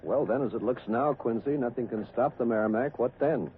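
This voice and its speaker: A brisk, formal news anchor played by a man questions and links reports in 1940s radio style. His voice comes through narrow and tinny, with light noise. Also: mid-range voice, close to an everyday voice. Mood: brisk, inquiring. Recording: tinny, noisy vintage radio recording.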